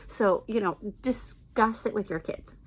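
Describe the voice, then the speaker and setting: A woman's voice: mid-range voice, measured pace. A middle-aged American woman chatting casually and emphatically as a video blogger giving recommendations. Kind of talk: casual chat to camera, recommendations.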